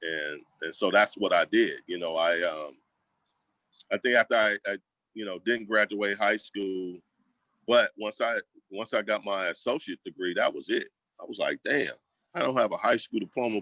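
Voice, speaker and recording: A man's voice: fairly low pitch, normal speed, bright, forceful tone, dry recording. A man talking casually as an interview guest, telling stories in slangy, colloquial speech and acting out quoted remarks. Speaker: school program leader, guest. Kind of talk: casual conversational storytelling.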